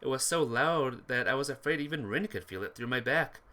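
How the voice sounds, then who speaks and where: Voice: medium pitch, expressive, fairly quick, bright, forceful tone. A streamer reading a visual novel aloud expressively, with casual asides to viewers. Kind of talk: game playthrough narration with chat asides.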